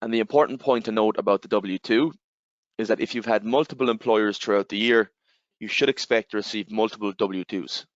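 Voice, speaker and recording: A man with an Irish accent, giving a webinar presentation and explaining step by step, with steady fillers and repeated "again". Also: fairly low pitch, a little fast, dry recording. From Ireland. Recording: slightly dull, dry webinar audio.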